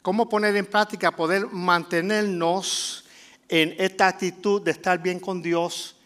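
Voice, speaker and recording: A middle-aged man from Puerto Rico with a Puerto Rican Spanish accent, preaching a sermon in a conversational way with frequent filler pauses. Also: sits high, level tone, fairly bright tone. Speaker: pastor.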